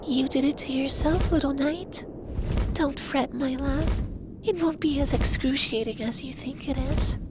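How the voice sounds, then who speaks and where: An effeminate young male dragon played by a man murmurs in a high, breathy voice at an unhurried pace. He is teasing and stern but doting, as in intimate ASMR roleplay. Noticeable ambient noise sits behind him. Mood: teasing, affectionate. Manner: soft, breathy, slow, teasing and commanding.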